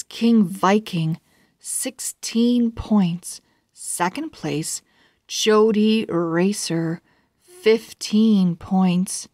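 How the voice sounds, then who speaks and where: A woman reading a story aloud for young children, with deliberate, measured phrasing. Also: medium pitch, dry recording, clean studio sound. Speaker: children's book read-aloud host.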